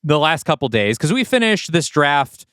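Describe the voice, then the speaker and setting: A man's voice: fairly high pitch, sing-song, normal speed, fairly bright tone, clear, resonant tone, dry recording, studio quality. A man co-hosting a podcast in a casual, conversational style. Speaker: podcast co-host.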